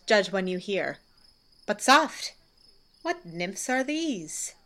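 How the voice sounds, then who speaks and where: Woman playing a commanding Athenian ruler, mid-pitched. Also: very animated, takes it slowly, pushed and bright, heavily acted. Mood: commanding, gracious. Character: commanding noble ruler of Athens, stately and gracious. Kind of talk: Shakespearean radio drama.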